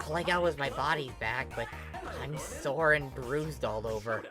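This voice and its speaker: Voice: medium pitch, normal speed, natural voice. Reader acting out a awkward teen boy, stammery and self-pitying, comedy story, music behind. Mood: sulky. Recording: background music under the voice.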